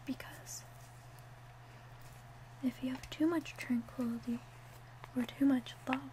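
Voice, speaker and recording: A woman playing a gentle, attentive witch in a soft, unhurried whispered ASMR roleplay. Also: sits high, fairly even intonation, poor audio. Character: gentle, attentive witch mixing love potions.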